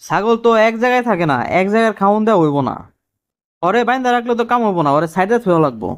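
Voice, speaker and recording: A man voicing a cheeky, quick-witted cartoon job candidate who explains things deferentially in colloquial Dhaka-accented Bangladeshi Bengali. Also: high-pitched, expressive. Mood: confidently explaining. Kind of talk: comic cartoon dialogue.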